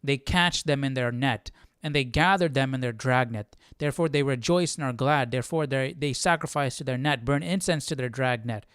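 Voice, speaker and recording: A man's voice: medium pitch, moderate pace, hardly any pauses, a bit bright, clean tone, no echo, studio quality. A man hosting a casual Bible study, reading aloud and explaining in an informal way, stumbling and correcting himself. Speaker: Bible study livestream host.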